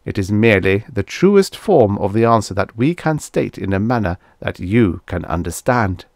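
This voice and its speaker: A man voicing an ancient alien machine oracle, impartial and formal, with a measured, pedantic manner in a science fiction audiobook. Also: lowish voice, sing-song, darkish tone, no room sound, clean studio sound. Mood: calm, precise.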